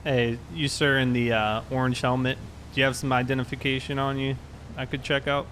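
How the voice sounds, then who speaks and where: A man's voice: mid-range voice, level tone, a little fast, fairly bright tone, lightly acted. Man playing a polite, procedural police officer, faint noise. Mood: calm, procedural. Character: calm, fair-minded police officer at a traffic stop. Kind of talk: improvised online roleplay. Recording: faint background noise, game vehicle sounds.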